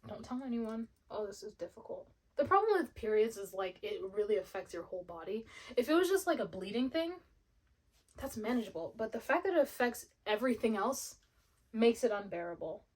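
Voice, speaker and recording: A woman's voice: on the high side, lively intonation, fairly quick. A woman in her twenties talking casually and rambling while she colors at home, swearing often. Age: 20s. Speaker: vlogger. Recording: music in the background. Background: music playing.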